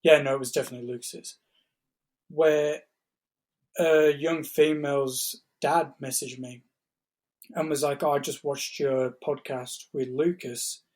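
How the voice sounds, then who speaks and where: Male podcast co-host with a slightly high, husky voice, measured and encouraging. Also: fairly even intonation, darkish tone. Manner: measured, encouraging, tells anecdotes. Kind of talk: casual podcast chat.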